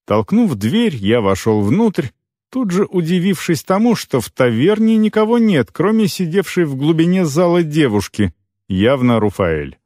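A man narrating scripted first-person fiction as an audiobook reader, expressive, with deliberate phrase breaks. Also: mid-range voice, no echo, clean studio sound.

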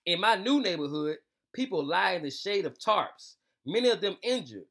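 A man's voice: high voice, very animated, moderate pace, fairly bright tone. A young man hosting a children's read-aloud show, speaking informally and straight to his young listeners. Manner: informal, slangy, addressing young listeners directly. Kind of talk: casual intro and storybook read-aloud. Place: small room indoors.

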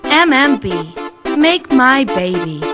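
A woman's voice: sits high, sing-song, slow, full character voice. A woman playing a polished sponsor announcer in an audio drama. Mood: promotional. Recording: loud music bed.

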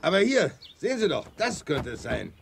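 A man's voice: sits high, sing-song, normal speed, vocal fry, a little hiss or hum, full character voice. A man playing a confident, plain-spoken sheriff in a children's sci-fi radio play.

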